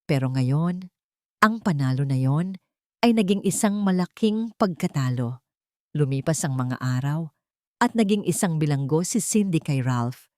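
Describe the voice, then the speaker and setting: A woman's voice: deep voice, lively intonation, unhurried, mellow, no echo, studio quality. A woman reading a scripted dramatic story as an audiobook narrator.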